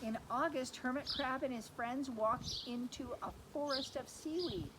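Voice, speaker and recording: A woman's voice: high-pitched, unhurried. A woman teacher reading a picture book to children expressively, adding explanations and questions for them. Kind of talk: storytime read-aloud with asides to children. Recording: heavy background noise.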